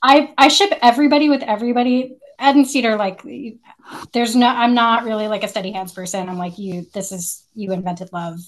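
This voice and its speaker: A woman's voice: a little high, steady tone, brisk. A woman co-hosting a podcast, chatting casually in a blunt, slangy way. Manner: blunt, slangy, frequent use of like. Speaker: podcast co-host. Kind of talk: casual chat.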